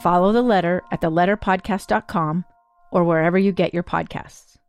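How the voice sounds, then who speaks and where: A woman's voice: on the low side, steady tone, brisk. A woman narrating a scripted podcast trailer as its host. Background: loud music with ringing tones.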